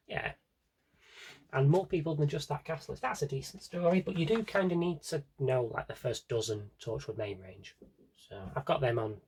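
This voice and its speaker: A man's voice: medium pitch, a little hiss or hum. A man giving a casual, rambling show-and-tell to viewers, chatty and enthusiastic, drifting into asides to himself. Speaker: video host showing a personal CD collection.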